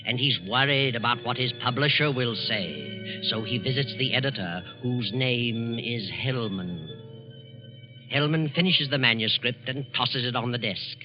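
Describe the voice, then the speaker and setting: A man's voice: mid-range voice, animated. Ominous old-time radio narrator, man. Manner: measured, ominous, deliberate. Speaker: radio show narrator and host. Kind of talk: scripted narration, 1940s radio. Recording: lo-fi 1940s radio recording with loud music. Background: loud music.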